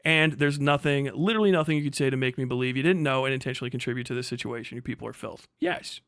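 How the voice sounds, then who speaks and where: A man's voice: medium pitch, rapid-fire, a bit bright, no room sound, studio quality. A man hosting a livestream, ranting vehemently with heavy swearing as he reacts to and reads out messages. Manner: profane, agitated, vehement. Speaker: livestream host. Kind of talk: livestream reaction rant, reading messages aloud.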